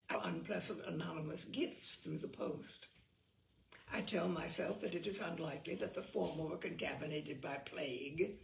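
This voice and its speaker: A woman host reading a humorous literary essay aloud with theatrical, deliberate, carefully phrased delivery. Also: medium pitch, gravelly, fairly bright tone, slight background noise. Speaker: host and reader. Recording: roomy echo, distant microphone.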